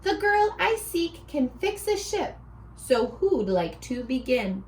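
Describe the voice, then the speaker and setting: A woman's voice: high voice, very animated, takes it slowly, distant mic, a little hiss or hum, exaggerated voice. A woman voicing an eager, earnest young prince in a children's storybook, with dramatic exclamations.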